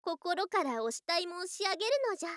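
High, girlish lamia bride. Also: fairly quick, dry recording, studio quality. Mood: tender, earnest. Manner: archaic "noja" speech, coy, excitable, openly devoted. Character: affectionate female lamia snake-spirit wife, girlish yet old-fashioned. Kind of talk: Japanese ASMR situation voice drama.

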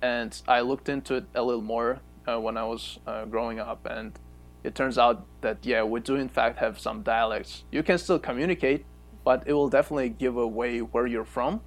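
A man from Kyrgyzstan, a native Kyrgyz speaker, co-hosting a podcast and explaining things at length with thoughtful pauses. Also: mid-range voice, fairly even intonation. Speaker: podcast co-host. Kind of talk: casual chat.

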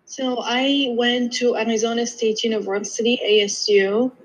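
A woman's voice: on the high side, little pitch movement, normal speed, breathy edge, low-quality recording. A young woman in her twenties, from Iraq and Jordan, a podiatry student chatting as an interview guest, often restarting and quoting herself. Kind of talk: casual interview chat.

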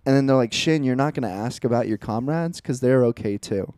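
A man's voice: mid-range voice, a little fast, darkish tone, clear, resonant tone, no room sound, studio quality. A man in his twenties, co-hosting a casual podcast chat, telling stories in an earnest, reflective way. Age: 20s. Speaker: podcast co-host.

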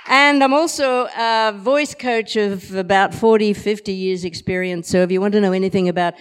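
An older woman, a voice coach, introducing herself at a live event in a deliberate, theatrical way. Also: medium pitch, clean tone. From Australia. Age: older, 60s or above. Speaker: voice coach and podcaster. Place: live podcast festival.

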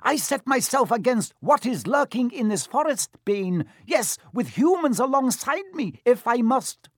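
A man's voice: sits high, big pitch swings, moderate pace, no room sound, studio quality, pitched above the performer's own voice. A man voicing a young male centaur from a storybook, speaking formally and earnestly, noble and defiant. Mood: indignant, defiant. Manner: formal, earnest, emphatic speech. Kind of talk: storybook character voice.

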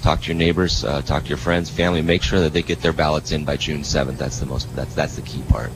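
Very deep, quick-talking man. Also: fairly even intonation, rough recording. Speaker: political candidate for sheriff, guest. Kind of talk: casual radio interview. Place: live on location radio broadcast. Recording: clear background noise, live location radio.

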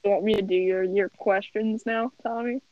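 A young woman hosting a casual call with friends, telling stories excitedly, swearing and giggling as she talks. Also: medium pitch, normal speed, no room sound, narrow, tinny audio. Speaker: host of a friends' chat. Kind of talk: casual chat. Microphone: home computer mic on a call.

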